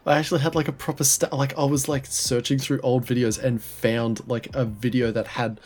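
A man's voice: medium pitch, little pitch movement, a little fast, a little mellow. A man co-hosting a podcast in casual chat, blocked-nosed with a sore throat, rambling with many restarts and swearing. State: congested with a head cold, sore throat. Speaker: podcast co-host.

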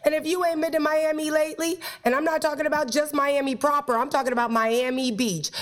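Woman, congested cold voice, playful flirty host, slightly high pitch. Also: fairly even intonation, a little fast. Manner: playful, flirty, talks straight to listeners. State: stuffed up from a cold. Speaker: podcast host. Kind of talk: chatty sex and relationship talk.

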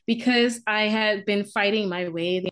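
A woman's voice: medium pitch, steady tone, moderate pace, a bit bright, clean tone. Woman, light bahamian accent, podcast guest, candid storytelling, stumbling restarts. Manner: candid, repeats and restarts, laughs. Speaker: career and business coach, guest.

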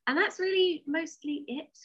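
A woman's voice: very high voice, moderate pace, a bit bright, muffled recording, slight background noise. A woman speaking as a writer being interviewed, in a casual, conversational way. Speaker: interview guest, science fiction writer.

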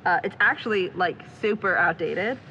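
A woman's voice: on the low side, normal speed, pushed and bright, highs cut off, slight background noise. A woman playing an anxious, prickly young amateur sleuth in an audio drama, hesitant, dry and sarcastic. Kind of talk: naturalistic audio drama acting.

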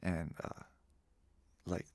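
A man who is a musician, telling a childhood story as a guest in a relaxed, rambling way. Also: very slow, no room sound. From Colorado. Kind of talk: casual storytelling in an interview.

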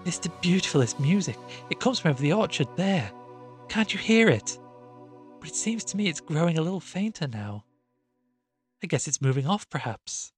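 A man voicing a meek, dreamy, patient country woman with gentle rustic speech in a storybook reading. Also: on the high side, big pitch swings, normal speed, faint music behind, pitched above the performer's own voice. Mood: dreamy, earnest.

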